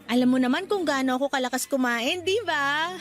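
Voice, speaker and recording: Woman acting a very high-voiced young Filipina girlfriend. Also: brisk, natural voice. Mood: bubbly, teasing. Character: cheerful, bashful young girlfriend, guarded about love. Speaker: radio drama actor. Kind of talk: Filipino radio drama acting. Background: music.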